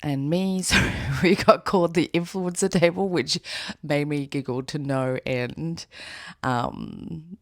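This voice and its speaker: A woman with a raspy, hoarse voice, chatting casually in a rambling vlog with fillers and laughs. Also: low voice, takes it slowly, dry recording, clean studio sound. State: hoarse after an event. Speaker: knitwear designer vlogger. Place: outdoors with birds nearby.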